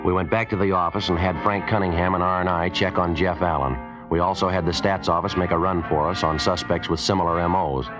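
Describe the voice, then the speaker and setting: An actor playing a terse, methodical police detective in a 1950s radio drama, delivering lines in a clipped, matter-of-fact deadpan. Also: male voice, low voice, a little fast, few pauses, close to an everyday voice. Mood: deadpan, businesslike. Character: terse, methodical male police detective who narrates his case. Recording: muffled old radio recording with music.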